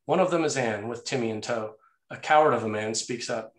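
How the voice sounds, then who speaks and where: A man's voice: medium pitch, fairly quick. A man narrating action and scene headings from a screenplay in a scripted read. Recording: roomy, echoey sound.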